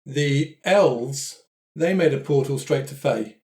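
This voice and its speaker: A man's voice: medium pitch, takes it slowly, darkish tone. A man improvising casually in character as a player in a tabletop roleplaying game, in a roomy, echoing recording.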